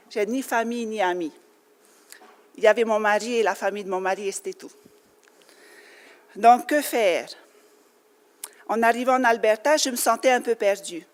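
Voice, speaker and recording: French Polynesian woman speaking in a hall. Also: a little high. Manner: earnest, with light laughs and fillers. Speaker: conference speaker. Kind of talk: personal speech to an audience. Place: conference hall. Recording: long hall reverb.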